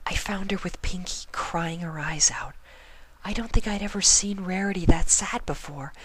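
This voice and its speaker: A man voicing a gentle, timid, caring female pony with a hushed, tender delivery in a cartoon fan fiction reading. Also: high-pitched, moderate pace, pitched above the performer's own voice, rough recording. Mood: sad, confiding.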